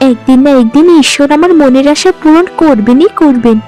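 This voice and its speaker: A woman voicing a meek, kind, long-suffering young sister in a cartoon, speaking gently, earnestly and submissively. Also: sits high, a little fast, faint music behind, lightly acted. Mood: wistful, hopeful. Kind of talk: animated folk-tale dialogue.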